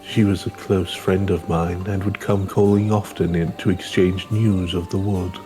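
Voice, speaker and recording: A man voicing an ancient, wise, gracious elder of a long-lived woodland folk in a fantasy video game, with formal, measured phrasing. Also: low-pitched, flat delivery, dark tone, faint music behind, natural voice. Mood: nostalgic, gracious. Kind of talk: reading video game dialogue in character.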